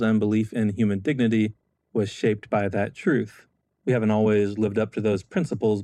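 A middle-aged American man, a former Marine and legislator, giving a measured, solemn scripted speech. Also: fairly low pitch, mellow, no room sound. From United States.

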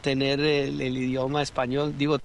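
A man's voice: medium pitch, completely flat delivery, moderate pace, vocal fry, slight background noise. A man, telling his story as a guest in a casual interview, with many restarts and fillers. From Rio Grande Valley, Texas.

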